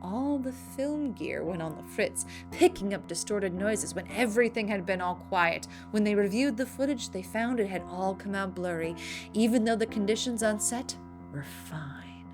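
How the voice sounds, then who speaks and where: A woman's voice: mid-range voice, lively intonation, normal speed, music in the background. A woman hosting a scripted film news show, with playful, emphatic delivery and slangy asides. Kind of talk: scripted entertainment news narration.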